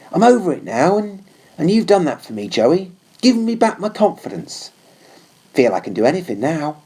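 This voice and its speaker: A man voicing a gentle, nervous young cavalry trooper in a storybook, with a halting, confiding way of talking. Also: sits high, slightly rough, voice pushed up, heavily acted. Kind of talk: audiobook character voice.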